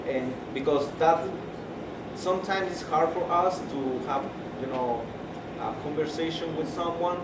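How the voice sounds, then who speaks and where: Hesitant man in an echoey hall. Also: medium pitch. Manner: hesitant, searching for words with many fillers. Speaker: community member. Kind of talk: community meeting remarks. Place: community center room. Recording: echoey room, distant mic, loud background hum.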